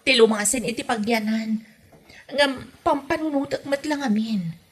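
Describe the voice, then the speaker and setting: A woman's voice: fairly high pitch, a little slow, slightly rough. A woman playing an exasperated young wife in a comedy drama, complaining melodramatically with a northern Philippine accent. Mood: resigned. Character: exasperated young wife fed up with her meddling mother-in-law. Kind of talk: comedy radio drama acting.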